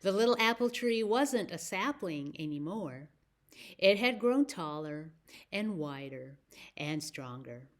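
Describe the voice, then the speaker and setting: Lady doing story time for little kids, takes it slow, talks right to them, rhymes and stuff. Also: fairly low pitch, lively intonation. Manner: simple, direct prompts to child listeners, with pauses for answers. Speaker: children's library story time presenter. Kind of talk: storytelling and rhymes for young children.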